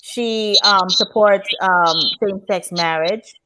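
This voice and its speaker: A woman's voice: medium pitch, takes it slowly, bright, forceful tone, poor audio. A woman from Canada speaking reflectively and explaining her view as a panelist in a conversational live discussion. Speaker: panelist on a live talk show.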